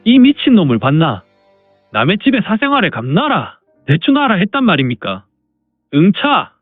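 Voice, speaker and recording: A high, wildly swooping caravan porter, played by a man, grumbles like a henpecked husband who still dotes on his wife. It is martial arts audio drama with an animated, complaining delivery, slightly muffled over music. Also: moderate pace, no room sound, full character voice. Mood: indignant. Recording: band-limited, music underneath.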